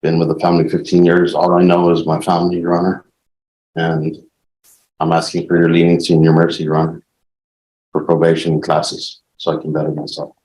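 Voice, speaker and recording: A man with a deep, monotone voice addresses the court as a defendant. He is subdued, deferential and halting. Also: a little hiss or hum, low-quality recording. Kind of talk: plea to a judge.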